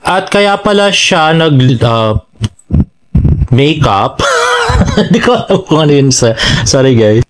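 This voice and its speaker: A chatty Filipino man with a slightly high voice hosts a casual fan vlog. He reads out posts and adds his own commentary, switching between Tagalog and English. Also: wildly swooping pitch, takes it slowly. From Philippines. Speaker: fan vlogger and host. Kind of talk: casual fan news commentary, reading out social media posts.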